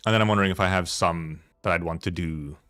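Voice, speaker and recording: A man's voice: low voice, fast talker, pushed and bright, clean tone, no echo. A man streaming a video game with casual commentary, thinking aloud hesitantly and repeating words as he goes. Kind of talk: casual rambling gameplay commentary. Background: faint game music.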